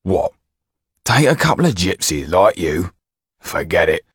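A man's voice: low-pitched, sing-song, a little slow, breathy edge, no room sound, clean studio sound, heavily acted. A man voicing a resentful coachman in an audiobook, grumbling and sarcastic.

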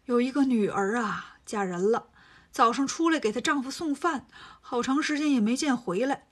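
A woman's voice: a little high, close to an everyday voice. A woman voicing a cunning shape-shifting demon disguised as an old man, sly and whining. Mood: mournful. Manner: sly, whining, slow mournful pleading.

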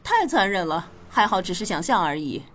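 A woman's voice: mid-range voice, very animated, moderate pace, a bit bright. A woman playing a caring, practical mother in a radio drama. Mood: curious.

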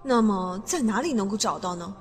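A woman playing a brash, sharp-tongued young female assassin, muttering her thoughts in a fan audio drama. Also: medium pitch, expressive, fairly quick, soft background music, close to an everyday voice. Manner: impulsive, sharp-tongued, inner monologue.

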